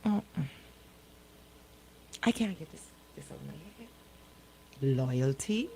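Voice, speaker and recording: A woman's voice: sits low, expressive, slow pace, gravelly, darkish tone, poor audio. A woman hosting a casual live talk show, questioning people incredulously and repeating her words for emphasis. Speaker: radio show host.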